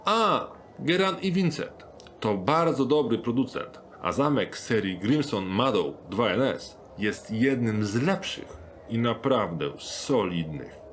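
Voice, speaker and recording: Man acting a courteous shopkeeper with animated intonation. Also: mid-range voice, a little slow, a little hiss or hum, lightly acted. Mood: helpful. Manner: courteous, businesslike, eager to please. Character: polite, sales-minded male locksmith shopkeeper. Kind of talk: acted dialogue in a dark fantasy audio drama.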